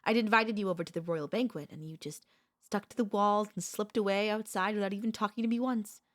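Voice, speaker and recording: A woman voicing a centuries-old vampire mistress, a tender, possessive girlfriend, speaking softly and intimately with courtly wording and hesitant pauses in an ASMR roleplay. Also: medium pitch, dry recording, studio quality, close to an everyday voice. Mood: teasing, fond. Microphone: close studio microphone, dry.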